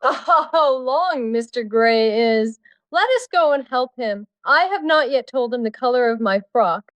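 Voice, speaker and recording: Actress as a poised, flirtatious duchess, highish voice. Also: female voice, animated, measured pace, a bit bright, no room sound. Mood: playful, coy. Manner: arch, playful. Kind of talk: dramatised audiobook dialogue.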